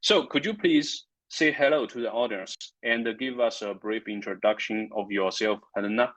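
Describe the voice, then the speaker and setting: Halting male host with a low voice, reading an introduction over muffled lo-fi sound. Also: a bit bright. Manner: careful, halting, stumbles on words. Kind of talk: scripted interview introduction. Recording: dull lo-fi audio.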